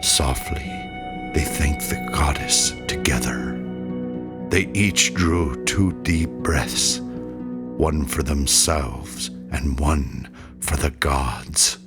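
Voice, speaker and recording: Male audiobook narrator, extremely low register, unhurried dramatic fantasy storytelling. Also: loud music behind the voice.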